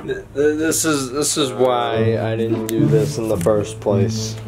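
A man's voice: medium pitch, steady tone, unhurried, over loud music. A young adult man with a slightly lost voice, chatting casually and jokingly to camera in a small room as he vlogs. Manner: joking, rambling. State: slightly lost voice after illness. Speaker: vlogger, college student. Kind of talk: casual vlog chat to camera. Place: small dorm room, indoors. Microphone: handheld camera at arm's length.

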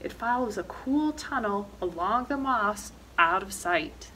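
A woman's voice: medium pitch, lively intonation, a little slow, bright, forceful tone, a little hiss or hum, poor audio. A middle-aged woman reading a children's picture book aloud expressively, with dramatic emphasis on action words. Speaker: librarian reading storytime. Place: indoor library reading corner, seated.

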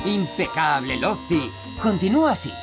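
An actor playing a cheerful, reassuring builder and team leader in a Castilian Spanish cartoon dub, with music behind. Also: male voice, on the high side, sing-song, normal speed. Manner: upbeat, encouraging, reassuring. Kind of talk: animated children's series dubbing.

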